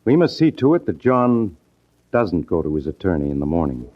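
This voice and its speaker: Casting: cold, controlling young schemer in 1940s radio crime drama; low register, very animated intonation, muffled vintage sound. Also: male voice, normal speed. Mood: grim, insistent. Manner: persuasive, controlling, assured. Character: cold scheming younger man plotting a murder.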